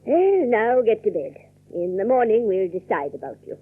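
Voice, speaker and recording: Husky old woman, proud and bitter, tremulous, halting radio drama delivery, dull lo-fi recording. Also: mid-range voice, very animated. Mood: wary, weary. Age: older. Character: proud, bitter, frightened old spinster in decayed mansion.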